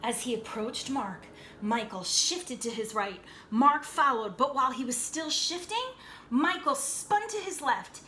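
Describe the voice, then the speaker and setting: A woman with a bright, fairly high voice reads a picture book aloud to children. She is animated and dramatic, takes her time, and adds little asides to explain the story. There is some noise in the recording. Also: unhurried. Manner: animated, dramatic delivery that explains things to young listeners.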